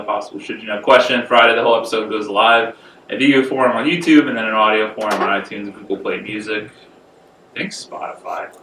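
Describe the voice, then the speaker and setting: A man's voice: on the low side, a bit bright, distant mic. A man in his twenties trades teasing, profane banter as a podcast co-host. He sits a little away from the mic. The room is echoey and a faint hiss runs under his voice. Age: 20s. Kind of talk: casual banter.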